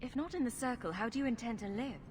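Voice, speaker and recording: An actress playing a wry, self-assured adventuring heroine in a video game, sardonic and glib. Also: female voice, a little high, animated, quick pace, darkish tone, a little hiss or hum, natural voice. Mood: wry, confident.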